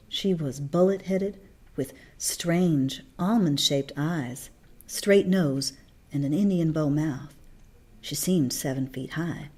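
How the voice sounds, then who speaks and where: Woman reading a book out loud, kinda low voice, expressive storyteller. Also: slightly rough. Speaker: read-along narrator.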